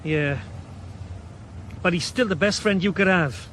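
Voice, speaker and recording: A man's voice: sits high, a little slow, a bit bright, a little hiss or hum. An actor playing a detective's cheeky, chirpy male sidekick in a radio drama. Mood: wry. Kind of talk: 1980s radio drama acting.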